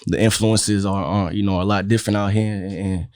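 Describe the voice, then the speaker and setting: A man's voice: fairly low pitch, fairly even intonation, fairly quick, crowd noise. A young man from Connecticut, a rapper guest in a casual interview, stammering and repeating "you feel me" as he talks.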